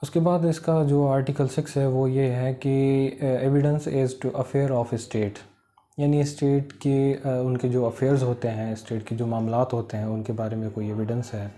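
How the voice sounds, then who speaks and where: A man with a Pakistani accent giving an informal explanatory lecture, halting and repetitive, with many filler phrases. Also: medium pitch, level tone, dark tone. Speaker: law lecturer. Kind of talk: informal explanatory lecture, Urdu mixed with English legal terms.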